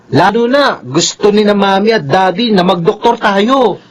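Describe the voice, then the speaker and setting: A man's voice: high-pitched, expressive, a little slow. A Filipino man voicing a studious, bossy young older brother in a radio drama, preachy and assertive. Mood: scolding.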